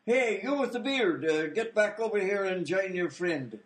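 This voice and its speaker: A cheerful, slow-on-the-uptake police officer, played by a man in a radio comedy. His voice sits high and he rambles in an easygoing way. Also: expressive. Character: dim, overly literal male police officer, cheerful. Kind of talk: comic radio play acting.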